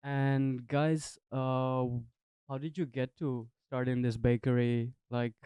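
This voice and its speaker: A man's voice: mid-range voice, level tone, slow, dark tone, clear, resonant tone. A young man hosting a casual interview in a bakery, rambling and upbeat. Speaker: host.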